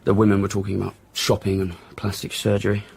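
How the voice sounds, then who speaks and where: A man's voice: on the low side, monotone, fast talker, gravelly, darkish tone, slight background noise. An actor playing a blunt, cynical male journalist in a radio drama, answering in an offhand, grudging way. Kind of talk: naturalistic radio drama acting.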